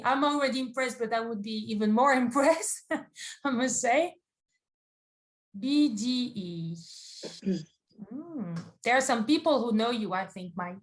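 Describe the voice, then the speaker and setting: A woman with a fairly high voice hosts a webinar over a video call. She talks in halting bursts, restarting phrases and laughing lightly between prompts. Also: fairly bright tone. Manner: hesitant, many fillers and restarts, laughs often. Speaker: webinar moderator. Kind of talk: hosting a live webinar.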